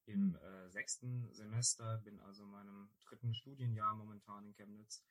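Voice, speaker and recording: A man in his twenties from near Saarbrücken answers interview questions, pausing often and hesitating. His voice is bright and rather low. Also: fairly even intonation, poor audio. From near Saarbrücken, Germany. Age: 20s. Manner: hesitant, lots of ähm fillers. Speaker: student guest. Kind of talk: casual interview talk.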